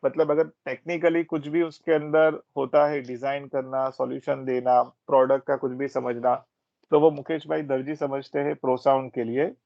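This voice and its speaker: A man's voice: mid-range voice, level tone, moderate pace, creaky, no room sound. A man with an Indian accent giving an informal, explanatory training presentation, mixing Hindi and English. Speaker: technical product trainer at a systems company. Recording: dull, band-limited close audio.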